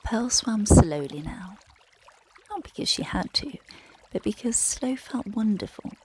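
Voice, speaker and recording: Slow, hushed woman telling a bedtime story. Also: mid-range voice, animated, background noise. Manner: gentle, soothing, hushed and breathy, often near whispering. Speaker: meditation guide and bedtime storyteller. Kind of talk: guided relaxation and bedtime story narration for children.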